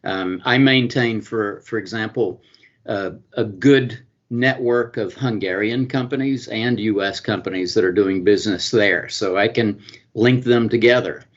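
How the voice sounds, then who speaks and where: Low-voiced Southern man, halting answers. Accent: Georgian Southern US. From US state of Georgia. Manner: hesitant, many fillers and restarts. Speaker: consul and business attorney, guest. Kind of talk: interview answers. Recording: dull lo-fi sound.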